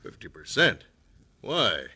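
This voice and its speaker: An actor playing a cautious, secretive American government official of the Old West in a radio drama, speaking in a formal, guarded way. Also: male voice, fairly low pitch, huge pitch swings, slow pace, sharp tone, breathy edge, heavily acted. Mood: guarded, concerned.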